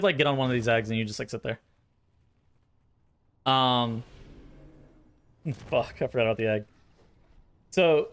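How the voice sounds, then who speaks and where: A man's voice: a little high, a bit bright. A man giving casual, blunt gameplay commentary with swearing, thinking aloud as he explains strategy, his voice a little tired. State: voice tiring, sore throat. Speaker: streamer.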